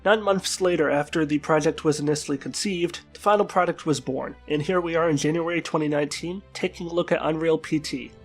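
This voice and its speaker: A man's voice: a little high, fairly even intonation, brisk, hardly any pauses, slightly rough, faint music behind. A man with a stuffed-up, congested voice, sick with a flu-like bug, narrating a scripted game review in formal, wordy phrasing. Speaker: video game reviewer and channel host.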